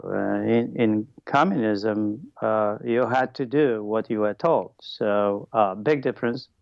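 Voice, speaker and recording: Slow, gravelly man with a Polish accent. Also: fairly low pitch, darkish tone, no room sound, dull audio. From Poland. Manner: halting, hesitant, thoughtful. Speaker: podcast guest, former Olympic weightlifter. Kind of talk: interview answers, personal recollection.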